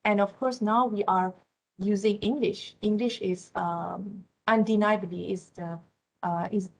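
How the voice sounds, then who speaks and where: A woman from Malaysia whose first language is Malay, speaking English with a Malaysian accent as a university lecturer. She gives a classroom lecture, often pausing on "uh" and checking in with "right?" and "yeah?". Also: mid-range voice, fairly even intonation, vocal fry, low-quality recording. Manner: frequent "uh", tag questions "right?" and "yeah?".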